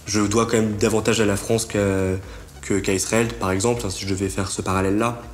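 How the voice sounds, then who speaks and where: A man's voice: lowish voice, little pitch movement, fairly quick. A man in his twenties speaking carefully in an interview and searching for his words. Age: 20s. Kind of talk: documentary interview testimony.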